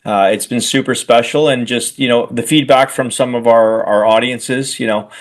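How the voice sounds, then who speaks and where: A man co-hosts a sports podcast in loose, casual chat. He rambles through his points with self-deprecating humor. His voice sits at a middle pitch. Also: fairly even intonation, moderate pace. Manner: rambling, many fillers and restarts, self-deprecating. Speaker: podcast co-host and rugby coach.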